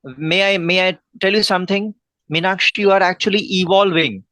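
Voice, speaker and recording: A man's voice: high-pitched, fairly even intonation, a little fast, sharp tone, dry recording. Earnest Indian-accented man tutoring English. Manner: earnest, advice-giving, many "uh" fillers. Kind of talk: one-on-one online English lesson.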